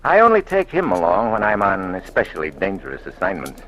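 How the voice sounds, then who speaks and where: An actor playing a calm, courteous, firm blind detective in an old radio drama, speaking in a measured, direct way. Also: male voice, low voice, full character voice, poor audio. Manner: measured, matter-of-fact, direct. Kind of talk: 1940s radio drama acting and first-person narration. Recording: old radio recording with music.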